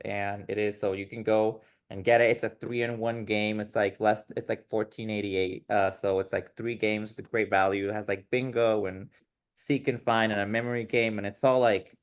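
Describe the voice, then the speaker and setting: A man's voice: lowish voice, flat delivery, normal speed, smooth. A man guest on a podcast, talking casually and enthusiastically, with many fillers. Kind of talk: casual interview chat.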